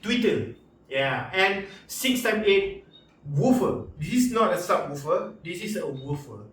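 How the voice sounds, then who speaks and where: A man with a Malaysian accent giving a casual, unscripted product review in an informal, halting and repetitive way with slangy interjections. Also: high voice, expressive, fairly bright tone, slight background noise. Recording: echoey room, distant mic, background music.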